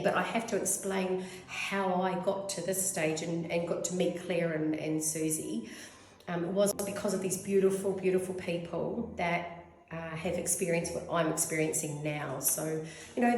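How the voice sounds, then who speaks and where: A woman's voice: mid-range voice, darkish tone. A New Zealand woman in her fifties giving a rambling, effusive testimonial as a clinic client. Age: 50s. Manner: rambling, effusive, goes off on tangents. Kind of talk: casual testimonial interview. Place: clinic room. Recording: very echoey room.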